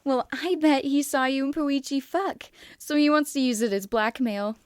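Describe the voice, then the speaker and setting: A woman voicing a crude, brash, loudmouthed teenage girl who yells lewd taunts, in an anime fan story. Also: high voice, steady tone, normal speed, no room sound, studio quality, pitched above the performer's own voice, natural voice. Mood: crude taunting.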